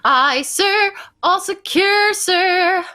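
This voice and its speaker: A woman's voice: extremely high pitch, very slow, bright, forceful tone, soft background music, exaggerated voice. A woman playing a dutiful male naval crewman, making formal announcements in a sci-fi radio play. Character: dutiful, crisp male naval radio operator aboard rocket. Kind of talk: cold-read sci-fi radio play acting.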